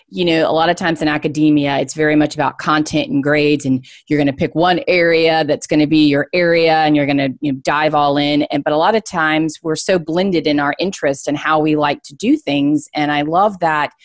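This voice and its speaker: A very dry, close recording of a woman with a low voice. She hosts an interview in a conversational style, effusive and affirming toward her guest. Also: dry recording. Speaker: show host.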